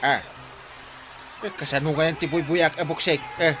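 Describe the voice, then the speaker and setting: A man's voice: a little high, steady tone, moderate pace, bright, forceful tone, soft background music. A man playing a stubborn, muddled old uncle with poor eyesight in a comic radio drama. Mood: stubborn, oblivious.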